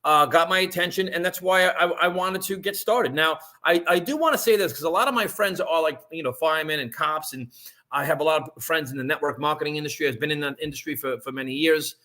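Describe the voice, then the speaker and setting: A man, talking casually on a live stream and pitching an online business. He rambles, restarts often and keeps checking in with "right?". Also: quick pace, sharp tone. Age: 50s.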